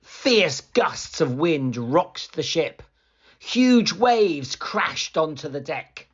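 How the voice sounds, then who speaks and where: Storybook reader, male, slow, animated read-aloud delivery, muffled lo-fi recording. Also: fairly high pitch, slight background noise. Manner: slow, expressive storytelling, rising for excitement. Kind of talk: children's picture book read-aloud.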